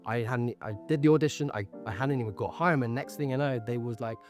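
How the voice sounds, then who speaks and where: A man's voice: medium pitch, fast, music in the background. A young man who works as an actor, talking casually and candidly about his career in a podcast chat.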